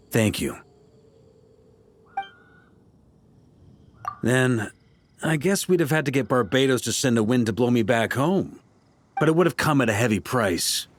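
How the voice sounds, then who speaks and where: An actor playing a seasoned, easygoing knight grandmaster in a video game, speaking in a laid-back, wry way. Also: male voice, mid-range voice. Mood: reflective. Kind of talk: video game character dialogue.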